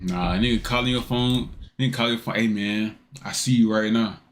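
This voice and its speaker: A man's voice: fairly low pitch, fairly even intonation, a little fast, soft background music. An American man giving casual, slangy reaction commentary, often repeating his phrases. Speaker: reaction video creator.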